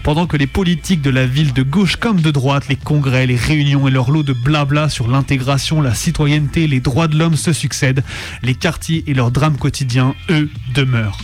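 A man presenting on radio, reading a scripted militant documentary text in measured phrases. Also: medium pitch. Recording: music playing under the voice. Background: music.

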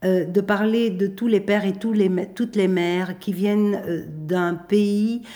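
A middle-aged woman, speaking thoughtfully in a radio interview, pausing and restarting often. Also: medium pitch, steady tone, dark tone. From Belgium. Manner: thoughtful, hesitant with many pauses and restarts. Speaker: playwright and performer.

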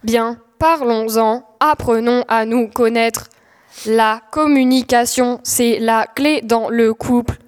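A woman's voice: a little high, fairly even intonation, takes it slowly, clean tone. A teenage girl playing an earnest, courtly, oblivious knight in love, in a comic audio drama. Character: earnest, lovestruck, oblivious knight Don Quixote. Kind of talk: comic audio fiction acting. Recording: echoey hall reverb.

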